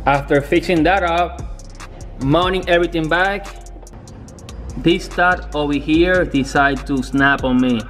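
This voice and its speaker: A young man talking casually in a garage workshop, filming himself on a handheld camera, halting and restarting as he explains his car work. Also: a little high, fairly bright tone, soft background music. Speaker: car builder.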